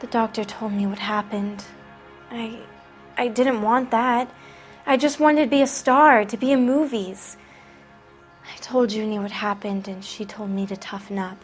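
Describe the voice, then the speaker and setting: A woman's voice: mid-range voice, steady tone, measured pace, faint music behind, close to an everyday voice. A woman playing a naive, shaken American teenage girl in a detective game, hesitant and pleading. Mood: defensive, pleading. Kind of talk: cinematic detective game dialogue.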